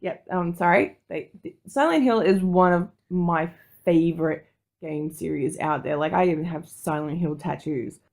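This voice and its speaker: A woman's voice: on the low side, normal speed, darkish tone. A woman co-hosting a podcast, chatting casually in an enthusiastic, blunt and slangy way. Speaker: podcast co-host. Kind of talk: casual chat.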